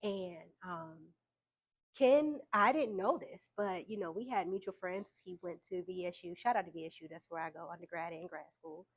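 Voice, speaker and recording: Soft-voiced young woman, relaxed and chatty. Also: lowish voice, moderate pace, breathy edge. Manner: relaxed, frequent fillers, laughs between points. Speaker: interview guest, musician. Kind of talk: casual conversational storytelling.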